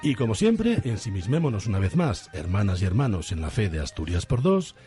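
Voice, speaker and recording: A man's voice: fairly low pitch, big pitch swings, brisk, over loud music. A man with a Spain accent hosting and narrating a parody radio soap in dramatic scripted style. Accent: Spanish (Spain). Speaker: radio show host and narrator.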